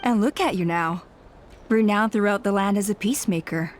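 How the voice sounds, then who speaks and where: An actress playing a gracious, modest elderly woman who leads a clan, speaking gently and reflectively in a video game. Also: mid-range voice, normal speed, slight background noise, lightly acted. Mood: nostalgic. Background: music.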